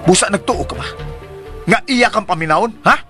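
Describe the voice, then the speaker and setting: A man's voice: high-pitched, expressive, brisk, music in the background. A man playing an exasperated, defensive working boyfriend who scolds his partner in a radio drama. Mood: angry, defensive. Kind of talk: Philippine radio drama acting.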